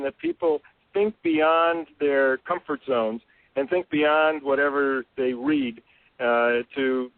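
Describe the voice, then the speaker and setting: A man's voice: on the high side, dry recording. A male host who steers the interview with jovial, prompting questions. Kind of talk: podcast interview talk. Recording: dry tinny phone line.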